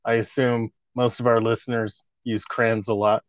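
A man's voice: mid-range voice, flat delivery, moderate pace, no echo. A man in his thirties co-hosting a casual podcast, joking crudely and laughing often. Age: 30s. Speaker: podcast co-host.

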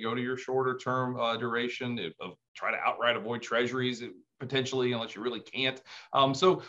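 A man's voice: mid-range voice, a bit bright, highs cut off. A man speaking as a guest market strategist in an unscripted interview, informal and self-deprecating, often restarting his sentences. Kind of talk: unscripted financial interview talk.

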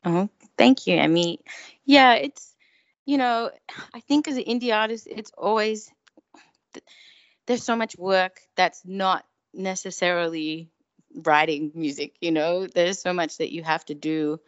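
A woman's voice: fairly low pitch, unhurried, no room sound, muffled recording. An Australian woman chatting casually and effusively as a guest singer-songwriter in an interview. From Melbourne, Australia.

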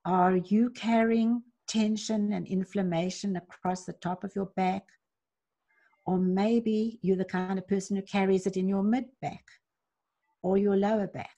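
A woman leading parents through a slow, gentle guided meditation, asking soft reflective questions with long pauses. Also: medium pitch, fairly even intonation. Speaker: parenting coach guiding a reflection exercise.

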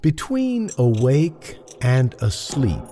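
Someone acting a mysterious eerie drama narrator, really slow n cryptic, noisy clicky recording. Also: mid-range voice, big pitch swings, mellow. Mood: ominous, cryptic. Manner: cryptic, deliberate phrasing.

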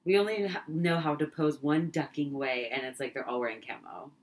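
A woman's voice: sits low, lively intonation, a little fast. A young woman from California co-hosting a casual chat podcast, blunt and swearing as she rants. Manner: blunt, swears when ranting. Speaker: podcast co-host.

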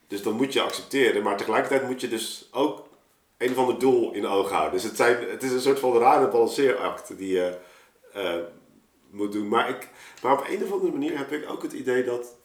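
A man talking casually and reflectively, halting as he searches for words. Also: medium pitch, expressive. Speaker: musician in a podcast discussion. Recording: roomy, echoing sound.

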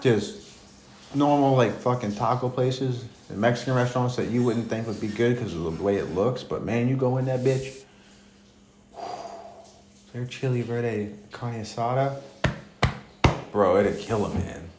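A man's voice: medium pitch, a little mellow. A laid-back man swapping loose stories, cursing freely and breaking into laughter. His voice rings in an echoey room over a faint hiss of noise. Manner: laughs often, swears, drawn-out storytelling. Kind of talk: casual crude chat.

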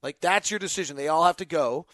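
A male sports podcast host with a high-pitched voice, recorded dry and close. He talks off the cuff, working through his thoughts aloud in broken, emphatic phrases. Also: quick pace, fairly bright tone, no room sound. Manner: thinking aloud, emphatic, choppy fragmented phrasing. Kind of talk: unscripted basketball talk, answering fan questions.

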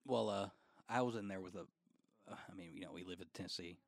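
A man's voice: low-pitched, fast talker, vocal fry, no room sound. A man in his twenties co-hosting a casual podcast chat over drinks, rambling through stories with lots of swearing and laughter. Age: 20s. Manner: rambling storyteller, swears a lot, laughs often. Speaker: podcast co-host.

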